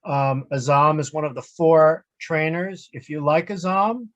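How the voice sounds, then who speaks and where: A man hosting an online session, asking gentle prompting questions. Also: on the high side, fairly even intonation, measured pace, highs cut off. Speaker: host. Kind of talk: casual interview.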